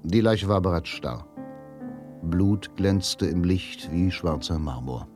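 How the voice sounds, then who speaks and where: An actor narrating a radio drama as a grave, literary storyteller with a solemn, dramatic manner. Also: male voice, low voice, lively intonation, slow pace, music in the background. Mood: grim. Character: grave, literary male narrator of a crime tale.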